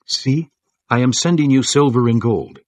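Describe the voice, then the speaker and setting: A man's voice: medium pitch, moderate pace, a little mellow, no echo, lightly acted. An actor playing a formal, commanding ancient king proposing a treaty in a dramatized audio Bible.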